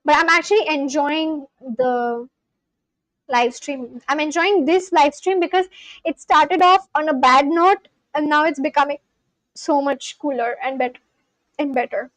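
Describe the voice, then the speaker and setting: Excitable high-voiced young woman streamer. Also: measured pace, dry recording, low-quality recording. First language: Bengali. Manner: excitable, gasps and exclaims. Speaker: live streamer learning Spanish. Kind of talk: casual live-stream chat reading comments.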